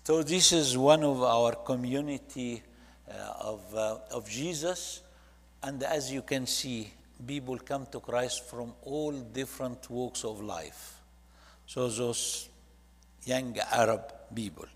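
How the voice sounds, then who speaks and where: A man's voice: mid-range voice, breathy edge. Egyptian man presenting in a reverberant hall. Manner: halting, with short phrases and non-native English grammar. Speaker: church ministry leader presenting to a congregation. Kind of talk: presentation talk narrating photo slides.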